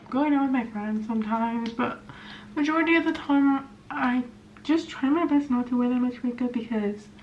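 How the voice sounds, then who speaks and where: A woman in her twenties from California, chatting casually and rambling with lots of fillers while doing her makeup at home. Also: on the high side. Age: 20s. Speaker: vlogger. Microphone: camera mic. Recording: slightly dull sound with faint hiss.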